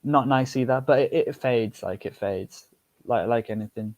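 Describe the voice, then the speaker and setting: A young man with a British accent talks casually on a podcast, rambling and restarting his sentences. The sound is dry, with no room echo. He laughs easily and keeps his voice at a middle pitch. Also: moderate pace. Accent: British English. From United Kingdom. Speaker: podcast guest, polyglot.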